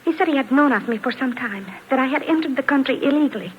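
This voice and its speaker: A woman's voice: high voice, lively intonation, fast talker. A woman playing an earnest, frightened foreign immigrant in a 1950s radio drama, speaking in formal, careful phrases. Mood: guarded. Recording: dull, faintly noisy vintage radio recording.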